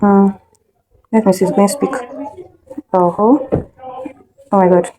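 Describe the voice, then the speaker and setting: A woman giving a casual online editing tutorial, thinking aloud and muttering haltingly as she works. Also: medium pitch, steady tone, warm tone, low-quality recording. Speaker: instructor of an online video editing class.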